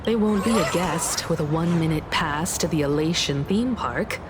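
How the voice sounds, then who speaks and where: A woman voicing a composed, scholarly woman in an anime-style game, speaking with solemn, measured eloquence. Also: sits low, background noise, close to an everyday voice. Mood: grave. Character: composed, scholarly woman archivist, grave and analytical.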